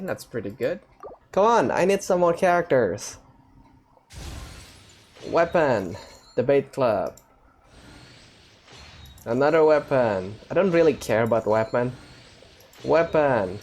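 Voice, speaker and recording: A man's voice: mid-range voice, darkish tone, slight background noise. A man hosting a gaming video, giving casual, off-the-cuff commentary in short reacting phrases.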